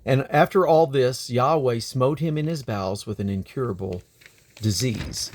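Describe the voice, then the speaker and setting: A man reading the Bible aloud in a deliberate, expressive way. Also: mid-range voice. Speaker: scripture reader.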